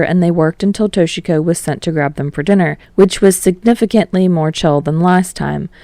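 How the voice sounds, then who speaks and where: A woman narrates fiction in a low-pitched voice with a creaky, gravelly edge. The recording is close and dry, like an audiobook read. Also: mellow, dry recording. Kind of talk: scripted story narration.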